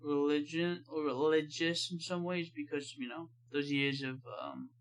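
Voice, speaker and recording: A man's voice: a little high, expressive, moderate pace, slight background noise. An American man hosting a reaction video, chatting casually and reading comments aloud with laid-back, offhand asides. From United States.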